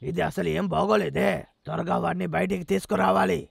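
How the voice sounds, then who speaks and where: A man voicing a bold, protective, taunting elder-brother bear with a gravelly voice in a cartoon dub. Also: high voice, steady tone, a little fast. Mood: scheming. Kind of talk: animated cartoon dub acting. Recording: background music under voice.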